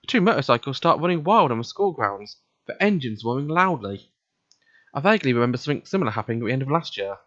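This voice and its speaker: A man's voice: medium pitch, sing-song, fast talker, fairly bright tone, no room sound. Man reading aloud on a dull, dry mic. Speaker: let's play host. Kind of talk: reading visual novel narration aloud, casual commentary.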